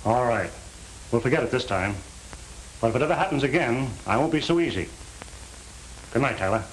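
An actor playing a stern but fair middle-aged parole officer in a 1930s crime film. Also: male voice, medium pitch, animated, a little fast, close to an everyday voice. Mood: firm, lenient. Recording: roomy, hissy old film soundtrack.

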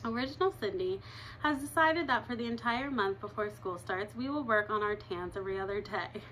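A woman's voice: medium pitch, a little fast, a bit bright, a little hiss or hum, low-quality recording. A woman in her thirties reading a chapter book aloud in her living room, breaking off for chatty asides to her listeners. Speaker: reader.

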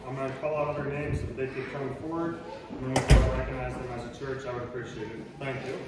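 A man's voice: mid-range voice, quick pace. A man, a church elder, speaking informally as he calls people forward to thank them. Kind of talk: calling names for recognition. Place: church sanctuary. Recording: echoey church, noisy, far from mic.

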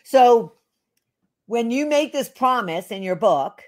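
A woman hosting a podcast interview, giving quick affirmations and asking long, halting questions. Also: on the high side, animated. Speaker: podcast host.

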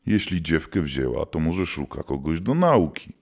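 Male actor as gruff, weary monster hunter: extremely low voice, lively swings, audio drama. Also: moderate pace, full character voice. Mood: grave, weary. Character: gruff, world-weary demon hunter, keeper of old gods. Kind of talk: scripted audio drama storytelling.

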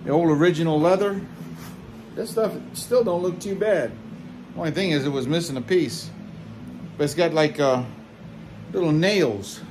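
A man's voice: on the high side, normal speed, pauses often, background noise. A man in his forties talking casually in a folksy, rambling way while he works on upholstery in a barbershop, filming himself close to a handheld camera. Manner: rambling, folksy, self-correcting. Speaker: DIY video host. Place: barbershop interior.

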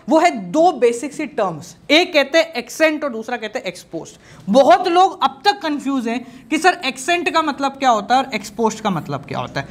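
A man in his thirties teaching an exam-prep economics class in a studio, playful and energetic, drilling key terms by repeating them. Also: extremely high pitch. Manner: lively and playful, repeats key terms, gestures while talking. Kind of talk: energetic exam-prep lecture, Hindi mixed with English. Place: studio in front of a projected slide screen.

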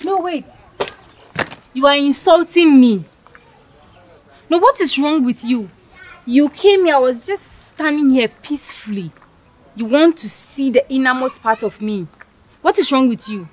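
An actress playing a rude, snobbish young woman who mocks and shouts with contempt. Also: on the high side, lively intonation, measured pace, poor audio. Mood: outraged. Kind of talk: scripted skit acting.

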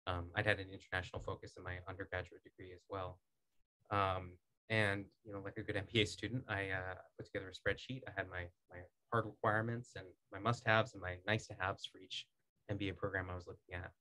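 A man in his thirties from the United States, a podcast guest speaking in a measured, articulate way with frequent "um"s, recorded on a studio boom mic in a small foam-lined studio. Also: flat delivery, sharp tone, rough recording. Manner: measured, articulate, many "um"s and restarts. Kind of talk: casual interview talk.

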